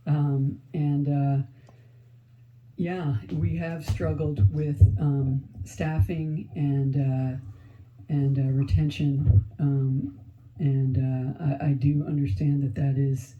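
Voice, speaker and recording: A man, a fire chief, giving halting remarks full of fillers and restarts at a public commission meeting. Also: fairly high pitch, little pitch movement. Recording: dull lo-fi meeting audio.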